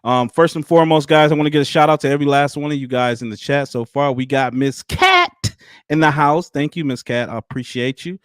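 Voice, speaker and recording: A man's voice: fairly high pitch, a little fast, fairly bright tone, clean tone, no room sound, clean studio sound. A man in his thirties hosting a casual live-stream interview, chatty and loose, laughing easily. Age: 30s. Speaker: livestream host.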